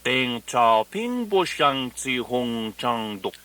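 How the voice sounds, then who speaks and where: A man playing an earnest language teacher in a radio parody, enunciating deliberately in mock foreign phrases. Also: medium pitch, big pitch swings, pushed and bright, full character voice. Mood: instructive. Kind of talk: parody of a broadcast language lesson.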